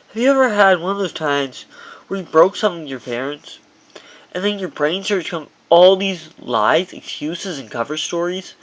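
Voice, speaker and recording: A man narrates a personal memoir as its author. His voice sits a little high for a man. The reading is halting, with occasional stumbles over the words. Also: a bit bright, rough recording.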